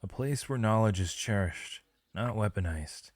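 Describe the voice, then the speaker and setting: A man playing a wise, misunderstood mage in an ASMR roleplay, speaking softly in a solemn, measured, poetic and reassuring way. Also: lowish voice, expressive. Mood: warm, relieved. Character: misunderstood male mage, gentle, wise, mysterious, tenderly reassuring. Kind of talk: ASMR roleplay, scripted dramatic monologue.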